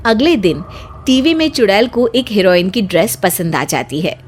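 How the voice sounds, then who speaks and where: A woman narrator reading a scripted story in an expressive storytelling style. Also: medium pitch, moderate pace, slight background noise.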